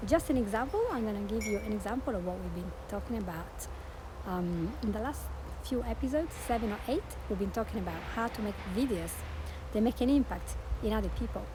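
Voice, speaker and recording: A woman's voice: mid-range voice, expressive, fairly quick, background noise. A woman with an Italian accent, talking earnestly as a podcast host and life coach, promoting her show. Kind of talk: promotional monologue.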